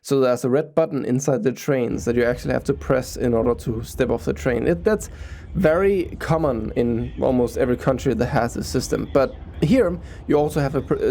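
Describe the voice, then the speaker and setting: A man's voice: mid-range voice, dark tone, a little hiss or hum. A Danish man with a Danish accent, a native Danish speaker, talking in English as a transit vlogger. He explains things in an earnest, informal way. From Denmark.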